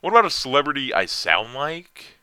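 Young man, deep lively voice, unhurried self-deprecating rambler, dry close mic. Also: clear, resonant tone, no echo. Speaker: vlogger answering viewer questions. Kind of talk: casual rambling chat.

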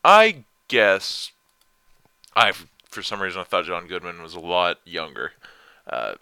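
Rambling young man with a deep voice. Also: big pitch swings, unhurried, creaky, pushed and bright, clear, resonant tone, dry recording, clean studio sound. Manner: rambling, self-deprecating, trails off and restarts. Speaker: vlogger answering viewer questions. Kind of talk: casual rambling chat.

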